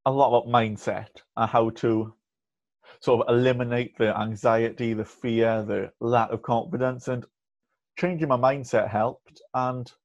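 A young man talks openly in an interview, his stammer showing as blocks and repeated first sounds. His voice sits in an ordinary middle pitch. The recording is muffled and lo-fi. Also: a little mellow. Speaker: podcast guest. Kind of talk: candid conversational interview. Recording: muffled lo-fi audio.

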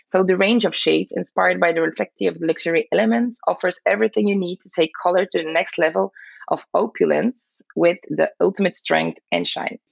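A woman from Belgium speaks as an in a podcast interview. Her voice is fairly low and gravelly with creak, heard over a dull, lo-fi connection. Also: a little fast, no echo. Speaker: guest salon owner and educator.

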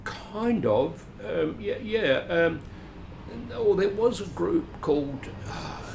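Gravelly older Australian man reminiscing. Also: fairly high pitch, background noise. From Adelaide, Australia. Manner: rambling, chatty, self-deprecating with dry asides. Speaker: veteran actor, interview guest. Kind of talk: reminiscing conversational interview.

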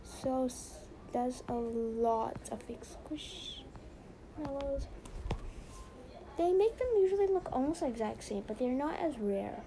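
High-voiced young woman hosting a podcast. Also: animated, takes it slowly, warm tone, slight background noise, poor audio. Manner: chatty, rambling, lots of "so yeah". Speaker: podcast host. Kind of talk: casual rambling podcast.

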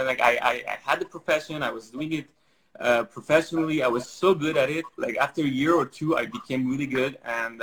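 A man's voice: a little high, fairly bright tone, low-quality recording. Rambling Syrian-raised man. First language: Armenian. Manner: rambling, many fillers and restarts. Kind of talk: casual interview answers.

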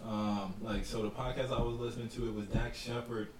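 Laid-back male podcast host, mid-pitched, off-mic in an echoey room with noticeable noise. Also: little pitch movement, fairly quick, recorded from a distance. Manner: laid-back, frequent "mm-hmm" agreement. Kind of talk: casual podcast chat.

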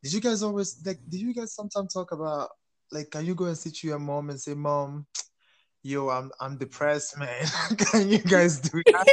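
A young man from Cameroon leads a relaxed group talk in a fairly high voice. He is animated, laughs easily and keeps turning questions over to his guests. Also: a little slow. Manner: animated, laughs often, asks the others questions. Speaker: host. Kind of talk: casual panel chat.

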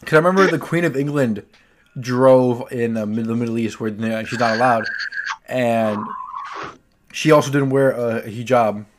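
A man's voice: mid-range voice, moderate pace, a little hiss or hum. A man telling stories casually, in a rambling, hesitant, slangy way. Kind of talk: casual anecdotal chat.

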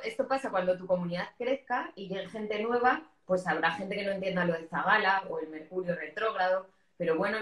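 A woman from hosting a casual live interview, talking in an informal, explanatory way. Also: fairly low pitch. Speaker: host of a live interview show. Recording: echoey room sound.

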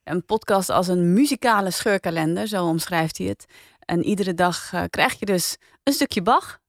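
A woman's voice: lowish voice, moderate pace, vocal fry, dry recording. Dutch woman chatting, dry close sound. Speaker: podcast host. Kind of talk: casual review chat.

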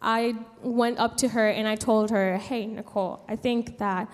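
A young woman from San Juan tells a personal story to a gathering, haltingly, pausing mid-sentence. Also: fairly high pitch, fairly even intonation. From San Juan, Puerto Rico. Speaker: speaker giving a personal testimony. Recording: long hall reverb.

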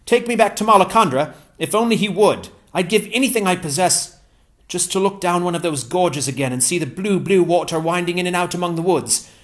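A man voicing an eager, cheerful scholar with a warm, lively, enthusiastic manner. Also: high-pitched, pitched above the performer's own voice. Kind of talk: audiobook character voice.

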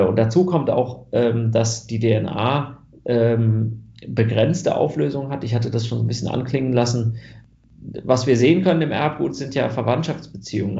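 A man's voice: medium pitch, moderate pace, creaky, mellow, echoey room, lo-fi sound. A German man answering interview questions about genetics research, thoughtful, with many fillers and restarts.

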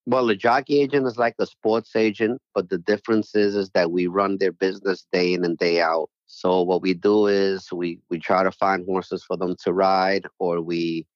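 A man's voice: low-pitched, steady tone, normal speed, few pauses, no room sound, lo-fi sound. A middle-aged man from New York City, a jockey agent speaking as a guest in a casual interview, friendly and rambling with lots of "you know".

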